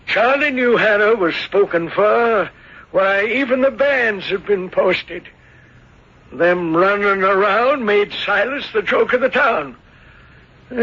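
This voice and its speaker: High-voiced, flustered small-town innkeeper. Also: male voice, a bit bright, heavily acted. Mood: indignant. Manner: stammering, folksy, agitated. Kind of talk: 1970s radio drama acting. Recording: dull lo-fi old radio broadcast.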